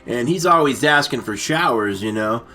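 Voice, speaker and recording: Male comic storyteller: bright, forceful tone, rambling and stammering delivery, constantly drifting into asides. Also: medium pitch, expressive, normal speed. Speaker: story-time show host. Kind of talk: comic, improvised movie recap storytelling.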